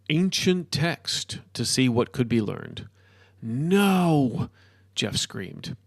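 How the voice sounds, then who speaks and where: A man narrates scripted fiction as a podcast host. His voice sits at an ordinary male pitch with a creaky, gravelly edge. He leans deliberately on key words, and the sound is very dry. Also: dry recording. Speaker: podcast host and author reading his own story.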